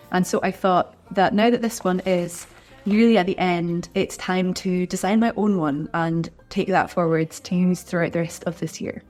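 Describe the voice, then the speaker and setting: A woman's voice: fairly low pitch, a little mellow. A woman artist chatting to camera in an encouraging, friendly way, sounding a little stuffed up from a cold. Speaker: artist and video creator. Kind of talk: casual talk to camera.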